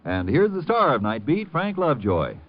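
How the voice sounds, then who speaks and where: Man, radio sponsor announcer, very lively intonation, scripted chatty advertising, dull vintage recording. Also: fairly high pitch, moderate pace. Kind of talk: 1950s scripted radio ad banter. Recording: muffled old 1950 radio broadcast.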